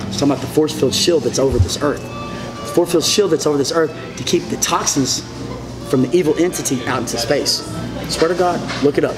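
Interview guest, man in his 40s, slightly high voice, emphatic and repetitive, restaurant booth with music playing. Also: rough recording. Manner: emphatic, repetitive, gestures broadly while talking. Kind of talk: rambling monologue, telling his story.

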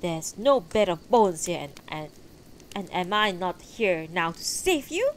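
A woman's voice: lowish voice, very animated, unhurried, a little hiss or hum. A woman voicing a proud, blustering old male knight in a fantasy story, formal and indignant. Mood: defensive, indignant.

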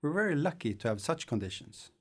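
A man, presenting a scripted lecture in a studio in a measured, thoughtful way. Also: fairly high pitch, sing-song, slightly rough. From Sweden. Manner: measured, thoughtful explaining with hand gestures. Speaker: course lecturer. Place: studio against a green screen.

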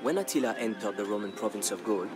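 A man playing a solemn storyteller in a strategy game, narrating war history in a measured, dramatic, deliberate way. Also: fairly low pitch, expressive, close to an everyday voice. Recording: narration over background music. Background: music.

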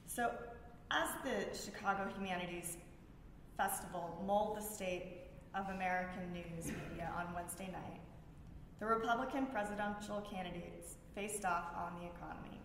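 A woman with a slightly low voice reads aloud, halting now and then to retake a word. Her voice rings with very heavy echo in a big room, with noticeable noise behind it. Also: fairly bright tone. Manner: halting, often stumbling over words and restarting. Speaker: librarian reading at a public event. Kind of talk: reading prepared texts aloud. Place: large reverberant room at a library event. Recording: very echoey hall with background noise.

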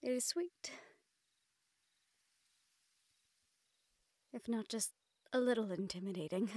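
A woman playing a gentle, playfully reproving fantasy sweetheart in a romantic audio roleplay, speaking tenderly in formal phrases. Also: a little high, fairly quick, dry recording, natural voice. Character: gentle, playfully scolding fantasy woman courting a dragon general.

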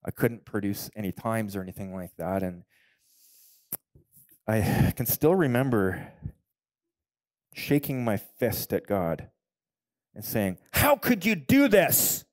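Pastor in his forties, low voice, close dry headset mic, sermon that swells to loud emphatic peaks. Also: big pitch swings, takes it slowly, pauses often, dry recording. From Canada. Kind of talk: sermon with personal testimony. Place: church stage, indoors.

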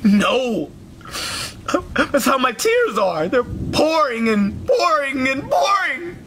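A man's voice: very high-pitched, animated, a little slow, fairly bright tone, reverberant, slight background noise, heavily acted. A man voicing a despairing, melodramatic monster dad in a comedy skit, whining and wailing like an overgrown child. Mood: gloomy, hopeless. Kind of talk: comedy skit character acting.

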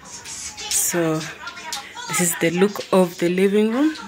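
A Kenyan woman gives a casual home tour, speaking slowly in a slightly low voice. She repeats phrases as she points things out, with music playing behind her. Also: lively intonation. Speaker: vlogger. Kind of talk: casual house tour narration. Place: indoors, living room of a family home. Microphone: handheld phone or camera held by the speaker.

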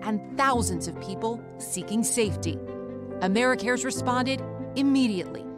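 Female narrator, higher voice, steady documentary read over a loud music bed. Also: normal speed. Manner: steady, informative news-style read.

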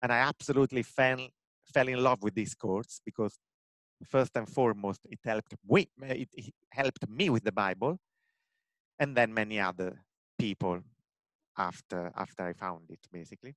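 A man's voice: fairly low pitch, normal speed, fairly bright tone, dry recording, rough recording. Italian-accented man in his forties, earnest interviewee on a headset mic, dry sound. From Italy, Rome. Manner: earnest, many fillers and restarts. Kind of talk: video call interview. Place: home room with bookshelves.

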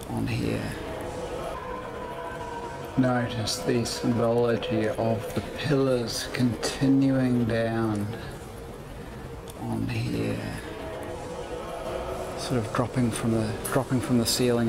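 A man giving an explanatory commentary on location, speaking in a halting, deliberate way into a handheld camera as he points things out. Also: mid-range voice, creaky, music in the background. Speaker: vlogger narrating his own walking video. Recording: noisy handheld recording, city traffic and ambience. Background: street and ambient noise.

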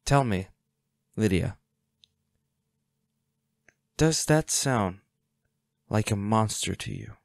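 Man acting slow, low-voiced protective hunter boyfriend. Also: animated, no room sound, clean studio sound. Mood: coldly determined. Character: protective, defiant boyfriend, a monster hunter, tender but ruthless. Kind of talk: intimate roleplay audio drama.

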